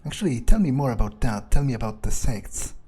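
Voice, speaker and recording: A man roleplaying a self-assured, inquisitive fledgling vampire in a game playthrough, speaking in a halting, musing way. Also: mid-range voice, expressive, warm tone, slightly rough. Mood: curious. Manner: halting, musing, hesitant pauses. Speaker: gameplay video player. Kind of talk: in-character roleplay commentary.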